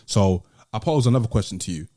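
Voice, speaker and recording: A man's voice: lowish voice, animated, fast, warm tone, no room sound, clean studio sound. A man with a British accent hosting a casual podcast discussion, explaining his points step by step. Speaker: podcast host.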